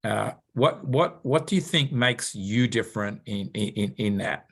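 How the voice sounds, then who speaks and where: A male podcast host asks his guest questions in a searching way, hesitating often and leaning on fillers as he goes. His voice sits somewhat low. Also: animated, a little slow, vocal fry, fairly bright tone, slightly rough. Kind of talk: interview questions.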